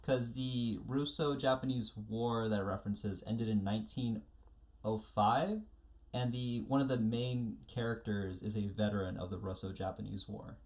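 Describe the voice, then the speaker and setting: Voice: medium pitch, fairly even intonation, moderate pace, a little mellow. A podcast co-host in casual chat, explaining things in a careful, tentative way.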